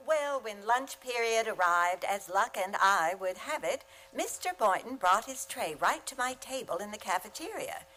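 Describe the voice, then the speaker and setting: A woman's voice: medium pitch, fairly bright tone, low-quality recording. A woman playing a lovelorn, wisecracking schoolteacher in a comedic radio play, with dry, sardonic delivery. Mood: wry, mock-romantic.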